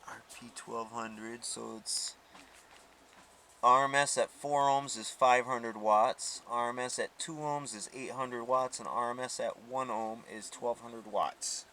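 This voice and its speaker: A man's voice: mid-range voice, fairly even intonation, a little slow, sharp tone. A man hosting a casual car audio unboxing, talking informally and thinking aloud, with some noise behind him. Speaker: host of a car audio channel.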